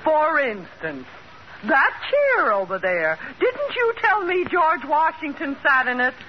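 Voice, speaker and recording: A woman's voice: high voice, very animated, takes it slowly, highs cut off, exaggerated voice. An actress playing a dry, teasing female friend in a 1940s-style radio sitcom, with wry delivery. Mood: teasing, skeptical. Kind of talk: 1940s radio situation comedy acting. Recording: noisy old radio recording with audience.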